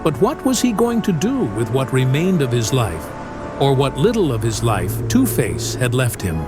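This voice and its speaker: A man's voice: medium pitch, huge pitch swings, moderate pace. A man narrating an audio drama, reading scripted story prose in a dramatic style. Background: music.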